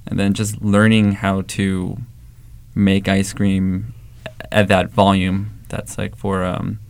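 Gravelly-voiced young man, flat and low. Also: slow pace, dry recording. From Los Angeles, San Fernando Valley. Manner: relaxed storytelling, many fillers and restarts. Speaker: ice cream shop co-owner. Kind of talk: casual interview answers.

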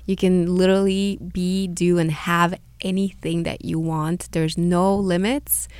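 A woman's voice: lowish voice, little pitch movement, unhurried, a little mellow, clear, resonant tone, dry recording. A woman with a Hungarian Romanian accent, a holistic healer talking as a guest in a radio interview, earnest and encouraging, with small repeats.

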